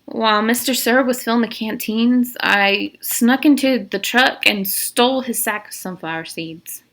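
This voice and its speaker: A woman's voice: on the high side, measured pace, a bit bright. A woman reading aloud the part of a nervous, earnest teenage boy in a storybook, speaking hesitantly.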